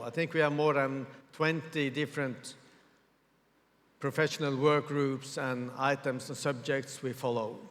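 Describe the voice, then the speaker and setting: A man from Norway gives a formal conference presentation in English, measured and pausing often to find his words. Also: a little high, a bit bright. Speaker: conference speaker. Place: large conference hall. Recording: reverberant hall with long echo.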